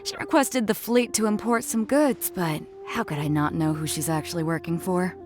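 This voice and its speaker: A woman's voice: fairly low pitch, moderate pace, close to an everyday voice. A woman as a blunt, swaggering ship captain. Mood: knowing. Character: bold, easygoing adult female ship captain. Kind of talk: video game voice acting. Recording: prominent music under the dialogue.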